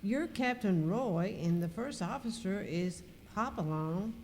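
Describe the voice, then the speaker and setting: A woman's voice: medium pitch, animated, measured pace, a little mellow, close to an everyday voice. A woman playing a curious, suspicious cruise passenger in an amateur radio skit. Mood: realizing, alarmed. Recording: echoey hall, faint noise.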